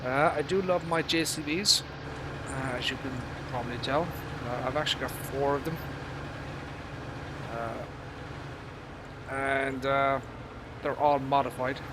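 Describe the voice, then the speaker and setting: A man with a mild Irish accent giving casual live gaming commentary in a halting, rambling, casually sweary way. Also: mid-range voice, fairly even intonation, noisy background. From Ireland. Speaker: game streamer.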